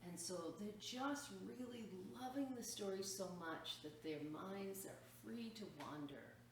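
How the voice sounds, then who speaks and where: A woman's voice: medium pitch, animated, measured pace. A woman reading a picture book aloud to children as a story time host, expressively drawing out words and adding chatty, explanatory asides. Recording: echoey room, distant mic, faint noise.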